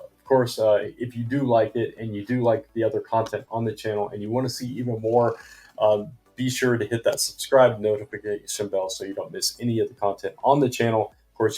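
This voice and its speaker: A man hosting a casual comic book review vlog, rambling with many ums and uhs, his voice going hoarse and his mouth dry. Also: lowish voice, a little mellow. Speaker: comic book channel host.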